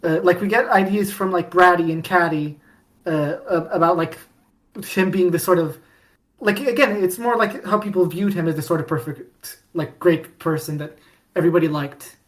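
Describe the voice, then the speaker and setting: A woman co-hosting a podcast, chatting casually about fandom in an animated storytelling way with mock-dramatic emphasis. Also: lowish voice, moderate pace. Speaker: podcast co-host.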